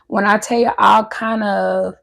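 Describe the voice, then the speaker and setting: A woman in her thirties chatting casually and a bit nervously to her viewers, her voice hoarse from recently losing it. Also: mid-range voice, fairly even intonation, unhurried, fairly bright tone. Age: 30s. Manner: chatty, nervous, talks straight to viewers as "y'all". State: voice recovering from loss, hoarse. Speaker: vlogger. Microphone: handheld wireless mic.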